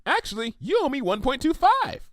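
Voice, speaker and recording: A man voicing a bubbly, hyperactive young female cartoon pony with chirpy, excitable emphasis. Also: extremely high pitch, normal speed, no room sound, clean studio sound, voice pushed up, full character voice. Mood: playful.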